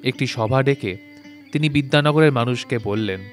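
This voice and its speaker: A man narrating a literary story from a script, with measured, formal phrasing. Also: mid-range voice. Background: plucked-string instrumental music.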